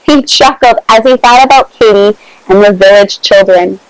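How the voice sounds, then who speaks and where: A woman reading a storybook aloud to children in an expressive, measured way, adding her own small asides. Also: medium pitch, smooth, no echo, rough recording.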